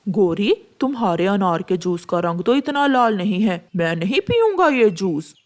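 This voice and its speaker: A woman's voice: medium pitch, big pitch swings, moderate pace, lightly acted. A woman voicing a fussy, complaining pigeon customer in a children's cartoon. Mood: disgusted.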